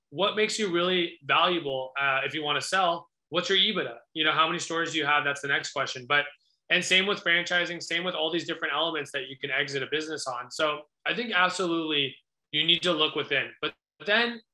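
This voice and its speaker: Bright, sharp-voiced American man, echoey room. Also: on the high side, fairly quick. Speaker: guest restaurateur. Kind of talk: conversational Q&A answers. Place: small indoor office. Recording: echoey room on video call.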